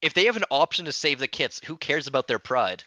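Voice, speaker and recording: Lively, bright-voiced man debating casually. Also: mid-range voice, fast talker, dry recording. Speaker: book club podcast panelist. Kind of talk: casual group book discussion.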